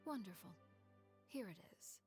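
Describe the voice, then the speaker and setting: An actress playing a composed, calculating woman of authority in a video game, speaking formally with measured condescension. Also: mid-range voice, lively intonation, a little mellow, close to an everyday voice. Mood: measured, persuasive. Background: prominent background music.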